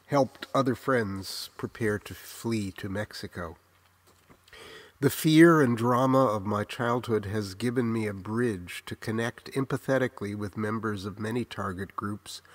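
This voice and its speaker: Memoir narrator: American male, 60s, slightly deep, takes his time, earnest scripted reflection with a literary, measured delivery. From Los Angeles, United States.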